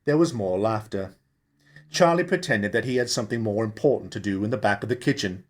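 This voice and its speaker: A man reading a novel aloud as narrator, in an expressive, theatrical storytelling style. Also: on the low side, a little fast. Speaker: audiobook narrator, actor. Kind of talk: dramatic scripted novel narration.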